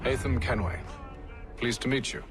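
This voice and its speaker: A man playing a composed, commanding gentleman in a video game, with formal, measured speech and a cool menace. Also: mid-range voice, lightly acted. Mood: polite, probing. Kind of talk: dramatic video game cutscene acting. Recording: music behind the voice.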